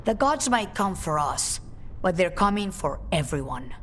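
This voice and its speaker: An actress playing a composed, shrewd crime-guild leader in a video game, speaking in measured, formal phrases. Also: female voice, lowish voice, very animated, slight background noise. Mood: calm determination. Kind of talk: video game cutscene acting. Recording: music behind the voice.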